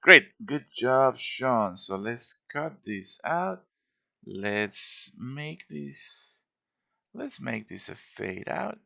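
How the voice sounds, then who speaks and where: A man's voice: mid-range voice, sing-song, extremely slow, gravelly, pushed and bright. A man giving a casual show-and-tell tutorial as a game modder, informal and thinking aloud as he works.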